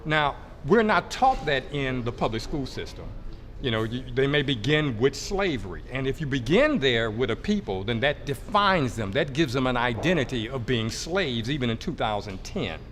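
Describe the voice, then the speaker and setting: A man speaking emphatically and deliberately as a panelist on a roundtable talk show. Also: mid-range voice, sing-song, a bit bright, soft background music. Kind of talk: panel discussion.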